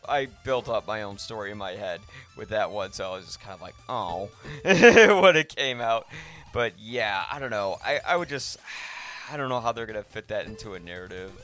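A man hosting a podcast, casually discussing films in a rambling, halting way that often interrupts itself. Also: lowish voice, fairly bright tone. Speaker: podcast host.

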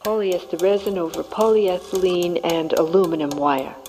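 A woman gives a deadpan, detached recitation in short, separated phrases, as in an experimental sound collage. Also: on the low side, normal speed, soft background music.

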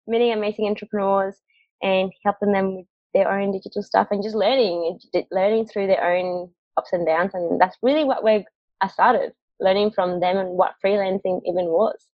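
A woman's voice: medium pitch, steady tone, normal speed, dry recording. Chatty young woman from Mexico, dry lo-fi audio. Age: young adult. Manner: chatty, laughs often, many fillers. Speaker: guest, marketing agency founder. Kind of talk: casual interview chat. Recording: dry, dull lo-fi call audio.

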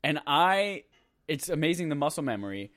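A man's voice: fairly high pitch, big pitch swings, normal speed, a bit bright, no echo, clean studio sound. A young man co-hosting a casual video game podcast, teasing his partner and swearing freely. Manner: teasing, wisecracking, profane. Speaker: podcast co-host. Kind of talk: casual chat about video games.

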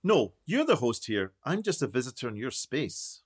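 A man playing a self-important, impatient documentary maker in a radio play, brisk and officious. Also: medium pitch, big pitch swings, slightly rough. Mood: businesslike. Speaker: documentary interviewer.

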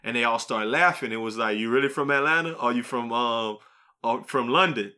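Guy podcast host, bright punchy voice, blunt and sweary, teasing his buddies. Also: mid-range voice, brisk. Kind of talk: casual chat, debating with friends.

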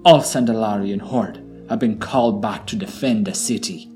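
A man's voice: fairly low pitch, normal speed, a little mellow, faint music behind, lightly acted. A man voicing an urgent troll messenger who is out of breath, in a fantasy audio drama. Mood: urgent, grave.

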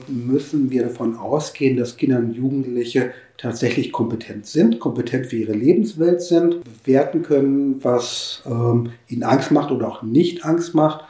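Mellow-voiced man in an echoey room. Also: medium pitch. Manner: formal, academic wording with hesitations. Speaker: interviewed media expert. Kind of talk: interview answers, considered explanation.

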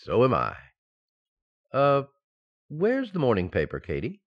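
A man's voice: medium pitch, wildly swooping pitch, measured pace, dull audio, heavily acted. A man playing a mild-mannered, distracted husband in an old-time radio sitcom, hesitant and absent-minded. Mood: breezy, affectionate.

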